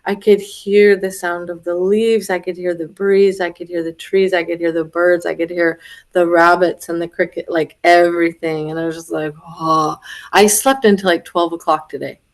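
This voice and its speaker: A young woman in casual conversation, animated and laughing as she acts out quoted voices, a little stuffy from a head cold. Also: lowish voice, normal speed. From Florida. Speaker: retreat attendee.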